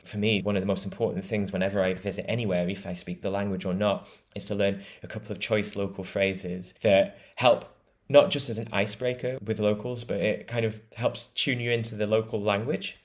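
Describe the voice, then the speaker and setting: British guy with a really low voice, chatty and keen, explains stuff at length. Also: fairly even intonation, fairly quick. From Britain. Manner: enthusiastic, laughs easily, explains at length. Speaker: guest travel writer. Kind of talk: conversational interview.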